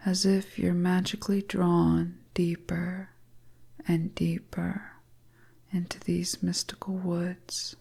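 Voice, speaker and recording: Female storyteller, breathy and whispered, low-leaning and very even pitch, hypnotic suspense narration. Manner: slow, hushed, second-person suspense narration.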